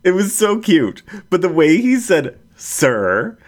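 A man voicing a playful adult female animal character in an audiobook, with an animated, confiding storytelling manner. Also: sits high, slow pace, pitched above the performer's own voice, exaggerated voice. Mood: excited, teasing. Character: playful adult female anthropomorphic animal sharing personal anecdotes.

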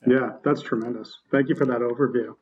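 A male podcast host conducting an interview, asking polite, measured questions. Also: mid-range voice, steady tone, a little mellow, muffled recording. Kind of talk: interview hosting.

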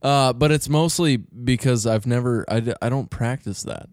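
A man's voice: mid-range voice, normal speed, darkish tone, no room sound, clean studio sound. A man co-hosting a comedy podcast, joking loosely and laughing often as he riffs on silly voices. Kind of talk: casual comedic chat.

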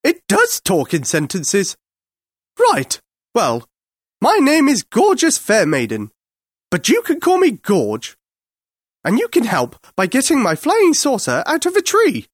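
High-pitched, grumpy Martian alien female, put on by a storyteller. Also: huge pitch swings, measured pace, no room sound, clean studio sound. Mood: bossy. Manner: grunting, exasperated, haughty.

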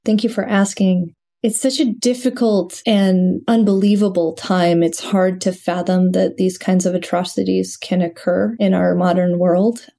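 A woman's voice: lowish voice, fairly even intonation, measured pace, vocal fry, hardly any pauses, mellow. A woman from Western Ukraine, a venture investor, speaking in an earnest, reflective and articulate way as a podcast guest. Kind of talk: podcast interview.

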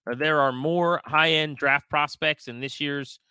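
A man's voice: on the high side, fairly even intonation, measured pace, sharp tone, clear, resonant tone, no echo, studio quality. A man hosting a sports radio show in a casual, conversational talk style.

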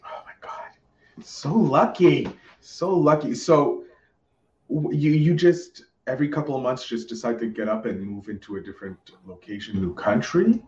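A man's voice: mid-range voice, normal speed, a little mellow, distant mic, lo-fi sound. A man hosting a podcast interview, chatty and enthusiastic, often restarting his sentences. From Canada. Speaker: podcast host. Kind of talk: casual interview chat. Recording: echoey roomy sound from off-mic.

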